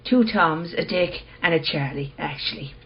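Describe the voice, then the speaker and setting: A husky, fairly low female voice, an actress playing a composed and enigmatic seller of curios in a radio play. Her delivery is understated and calm. The sound is slightly muffled and lo-fi. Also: moderate pace. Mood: unruffled. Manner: understated, unruffled.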